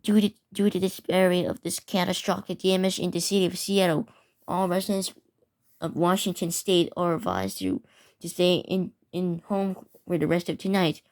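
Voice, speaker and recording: A woman's voice: lowish voice, moderate pace, a little mellow. A woman delivering a scripted emergency warning announcement as an alert announcer.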